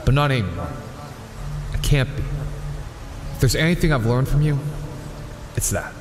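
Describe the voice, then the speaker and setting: An actor playing an earnest, anguished middle-aged vigilante hero in an audio drama. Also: male voice, mid-range voice, sing-song, normal speed, a little hiss or hum, close to an everyday voice. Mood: regretful. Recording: echoey hall-like reverb, music underneath.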